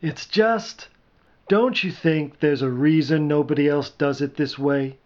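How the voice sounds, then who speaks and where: A man's voice: a little high, a little slow, darkish tone, muffled recording, close to an everyday voice. A man voicing a practical, doubtful but well-meaning sidekick who reasons tentatively in a storybook reading.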